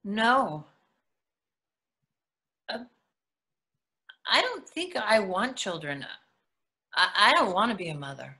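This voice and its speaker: A woman's voice: medium pitch, very animated, slow pace, pauses often, bright, forceful tone, full character voice. A woman acting a shy, candid twelve-year-old girl in a play reading, earnest and hesitant in her talk. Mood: earnest, unsure.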